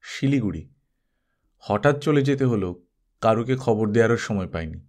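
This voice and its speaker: A man's voice: lowish voice, lively intonation, moderate pace, darkish tone, natural voice. A reserved club man speaks in an audiobook story, voiced by a male narrator. His manner is curt, blunt and terse. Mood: defensive.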